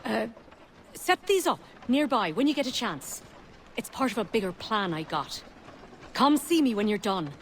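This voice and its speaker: A woman's voice: on the high side, very animated, normal speed, slight background noise. An actress playing a streetwise, colloquial working-class woman in a video game. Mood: businesslike. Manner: plain-spoken, colloquial. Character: streetwise working-class woman recruiting help against profiteers.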